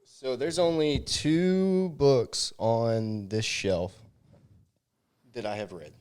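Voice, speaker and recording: A man's voice: mid-range voice, drawn-out words, a little mellow, clear, resonant tone. A man co-hosting a podcast in casual, conversational Bible discussion. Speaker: podcast co-host.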